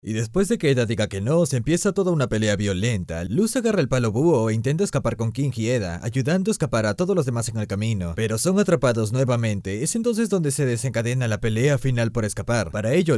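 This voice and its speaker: A man's voice: mid-range voice, very animated, fairly quick, few pauses, darkish tone, no room sound. A man giving a casual, scripted recap and review as a channel host, with an informal, irreverent way of talking.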